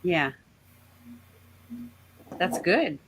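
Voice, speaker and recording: A woman's voice: lowish voice, sing-song, drawn-out words, rough recording. A woman chatting casually, giving brief, agreeable responses. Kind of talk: casual chat.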